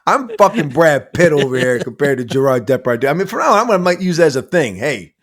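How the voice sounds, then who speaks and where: A man's voice: on the high side, very animated, fast. A man guesting on a podcast, chatting casually about movies, swearing freely and laughing at his own jokes.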